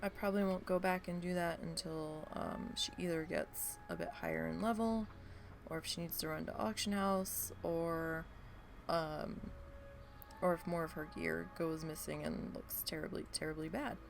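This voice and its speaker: A woman's voice: fairly low pitch, steady tone, moderate pace, creaky, soft background music. A woman streaming a game and chatting casually to viewers, her voice stuffy and nasal from allergies, rambling with many ums. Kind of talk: casual live-stream chat.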